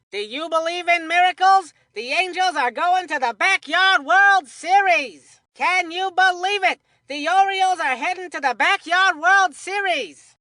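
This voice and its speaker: A woman voicing a peppy, excitable kid sports commentator in a cartoon baseball game. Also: very high-pitched, no room sound, clean studio sound, exaggerated voice. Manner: peppy, exclamatory. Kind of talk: scripted play-by-play commentary.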